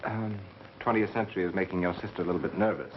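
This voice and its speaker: An actor playing a clever, dry, self-assured amateur sleuth with wry retorts, in a 1930s mystery film. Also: male voice, deep voice, steady tone, close to an everyday voice. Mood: wry, probing. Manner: wry, brisk deductive retorts. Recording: muffled, hissy 1930s film soundtrack.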